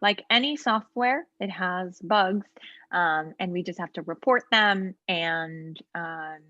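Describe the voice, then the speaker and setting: A woman's voice: lowish voice, slow, bright, forceful tone, dry recording. Patient woman trainer on a dull video call. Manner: patient, explanatory, with frequent ums and asides. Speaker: legal aid trainer. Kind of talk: walkthrough of online software in a role-play interview.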